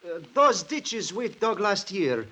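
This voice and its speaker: A man acting a humble, loyal plantation worker, solemn and halting, in 1940s American radio drama style. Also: high-pitched, sing-song, pushed and bright, heavily acted. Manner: halting, solemn, simple phrasing.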